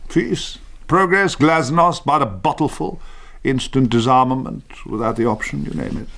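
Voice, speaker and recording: A man's voice: medium pitch, normal speed, vocal fry, a bit bright. An actor playing a boozy, flippant British man telling his story under questioning in a radio drama, wry, clipped and theatrical.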